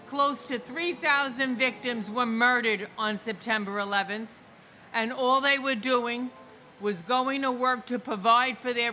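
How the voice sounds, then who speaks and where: A woman in her sixties reads names at a memorial ceremony and speaks slowly, solemnly and emphatically as a victim's relative. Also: fairly high pitch, sharp tone, a little hiss or hum. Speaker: victim's family member reading names. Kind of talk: memorial ceremony name reading and speech.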